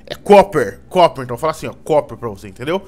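A man's voice: fairly high pitch, expressive, quick pace, a little mellow, soft background music. A man chatting casually and teasing friends while playing a game together. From Brazil. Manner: teasing, exclaiming, explains things insistently. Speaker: gamer in a group session. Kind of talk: casual gaming chat with friends.

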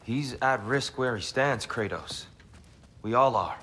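A man's voice: medium pitch, a little slow, bright, forceful tone, a little hiss or hum. A man playing a grave, anxious giant god in a dramatic fantasy video game. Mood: grave worry.

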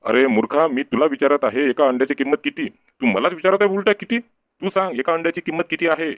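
A man's voice: on the high side, fairly quick, no room sound, lightly acted. A man voicing an exasperated, scolding village schoolteacher in a comic cartoon. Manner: scolding, questioning, name-calling.

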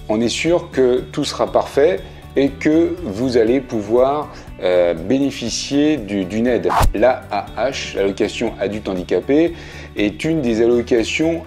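A man's voice: lowish voice, warm tone. A man in his fifties, seated in his living room, explaining things to camera in a chatty, plainspoken way with frequent fillers. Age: 50s. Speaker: video creator. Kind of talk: casual explainer video.

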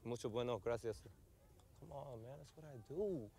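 A young man chatting in an animated, slangy way for a vlog out in busy public places. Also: medium pitch, moderate pace, vocal fry, a little hiss or hum. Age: young adult. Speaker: vlogger. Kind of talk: casual travel vlog chat. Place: busy stores and outdoor tourist spots.

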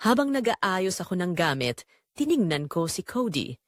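Expressive young Filipino woman storyteller, dry sound. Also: fairly low pitch, moderate pace, dry recording. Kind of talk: dramatic scripted first-person romance narration.

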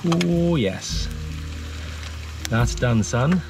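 A man's voice: mid-range voice, expressive, extremely slow, gravelly. A man in his thirties with an English accent, chatting cheerfully to camera as a van life vlogger inside a parked camper van. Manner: chatty, cheeky, upbeat. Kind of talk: casual vlog chat to camera. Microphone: action camera on a mount. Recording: music playing underneath.

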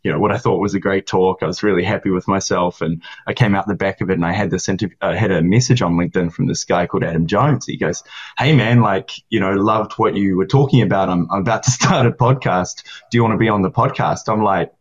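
A man's voice: low-pitched, fast talker, husky. A man in his thirties from New Zealand with a New Zealand accent, casually telling a story as a podcast guest, laughing as he talks. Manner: animated, laughing through his story, gesturing. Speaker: software co-founder, guest. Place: small room at home. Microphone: video call webcam. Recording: dull lo-fi call audio.

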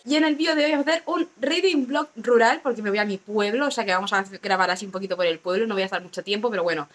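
A hoarse young woman chatting casually to camera as a book vlogger, recorded at home on a phone or camera held close. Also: mid-range voice, faint music behind. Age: young adult. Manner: chatty, quick asides and fillers. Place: small rooms at home and an outdoor terrace.

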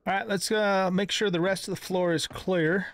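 A man's voice: high-pitched, fairly even intonation, moderate pace, a bit bright. A middle-aged man giving casual let's-play commentary, thinking aloud in a folksy, exclaiming way. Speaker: gaming channel host. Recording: clear background noise with clicks.

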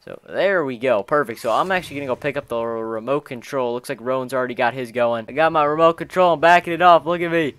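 A man's voice: medium pitch, steady tone, fast, hardly any pauses, fairly bright tone, smooth, no echo. A man hosting a gaming video, chatting in informal slang as he roleplays and comments on the game with friends. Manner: chatty, informal, peppered with bro-style slang.

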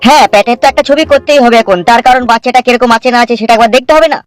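A matter-of-fact, inquisitive doctor from a comedy cartoon, played by a man. He talks very quickly in a questioning manner, with clear music behind him. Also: very high-pitched.

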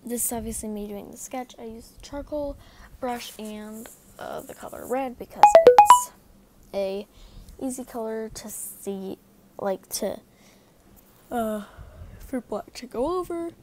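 A young woman narrates her drawing video at a slow, dragging pace, sounding tired and trailing off into fillers. Her voice sits a little high, close on the mic, with a faint hiss in the background. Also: poor audio. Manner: rambling, filler-heavy, often apologizing. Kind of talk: casual voice-over narration while drawing. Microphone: mic right next to her mouth.

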